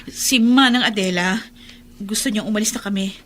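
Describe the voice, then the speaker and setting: A woman playing a gentle, worried young mother in a Filipino radio drama, concerned and probing. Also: mid-range voice, moderate pace, lightly acted. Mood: anxious.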